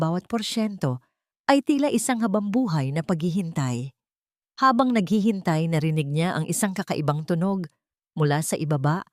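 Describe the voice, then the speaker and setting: A woman's voice: fairly low pitch, dry recording, studio quality. A woman reading a scripted, dramatic audio story as its narrator.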